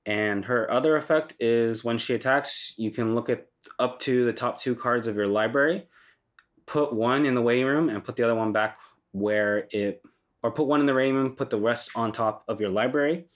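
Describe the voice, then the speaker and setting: A man's voice: medium pitch, normal speed. A young man with a stuffy, congested voice from a cold, hosting a casual walkthrough and talking loosely with ums and self-corrections. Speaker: channel host reviewing a card game deck.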